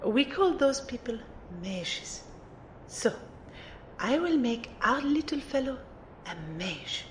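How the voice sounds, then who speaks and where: In a roomy recording, an actress plays a refined female mentor of magic with a fairly high voice. She speaks formally and precisely, teasing like a playful teacher in an audio drama, and takes her time over every phrase. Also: big pitch swings, takes it slowly, full character voice. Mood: lecturing. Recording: roomy amateur recording, faint rumbling noise.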